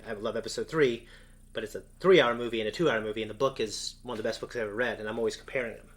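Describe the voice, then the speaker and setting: A man's voice: lowish voice, very fast. A man co-hosting a casual fan discussion, talking in a rambling, enthusiastic way. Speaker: co-host of a reaction show.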